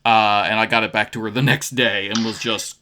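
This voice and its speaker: A man's voice: fairly low pitch, steady tone, fairly quick, sharp tone, slight background noise. A man hosting a radio interview in casual chat, rambling with fillers and restarts. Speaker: radio host.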